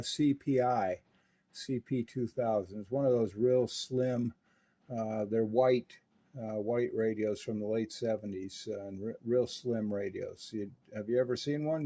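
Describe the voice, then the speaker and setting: An American man narrating radio repair work and chatting on CB radio in a casual, unhurried way with many pauses. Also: medium pitch, mellow. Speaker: radio repair technician and presenter.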